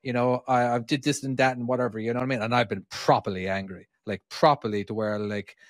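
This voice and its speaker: A man's voice: medium pitch, steady tone, fast, no room sound, clean studio sound. A man from Ireland chatting loosely on a podcast as a truck driver, swearing a lot and restarting his sentences. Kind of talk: casual podcast chat.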